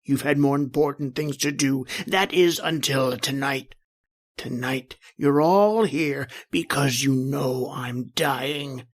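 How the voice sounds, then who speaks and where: A man's voice: mid-range voice, takes it slowly. A man playing a bitter, imperious, dying old uncle in an old-time radio horror play, full of menace. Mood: bitter, accusing.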